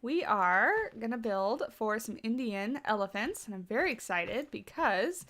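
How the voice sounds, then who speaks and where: A woman's voice: a little high, animated, unhurried, a bit bright. Congested woman rambling on a livestream. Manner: rambling, many fillers and restarts, reads chat aloud. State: congested, sniffly. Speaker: livestream host. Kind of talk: casual livestream chat.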